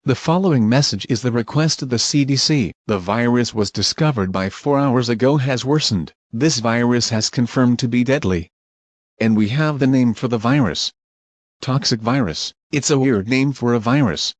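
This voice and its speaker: A man with a mid-pitched voice delivers a scripted emergency alert. He speaks as the announcer of an official-style broadcast message. The sound is dry, with no room echo around the voice. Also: moderate pace.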